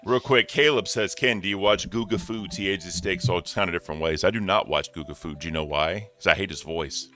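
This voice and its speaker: A man with a deep, low voice that still cuts through sharply. He co-hosts a casual podcast chat, joking around and swearing freely. Faint music plays underneath. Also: brisk, few pauses, sharp tone. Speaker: podcast co-host.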